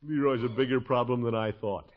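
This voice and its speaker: An actor playing a pompous, fretful middle-aged American uncle in a 1950s radio comedy, hemming and hawing. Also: male voice, medium pitch, steady tone, a little fast, lightly acted. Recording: muffled 1950s radio recording.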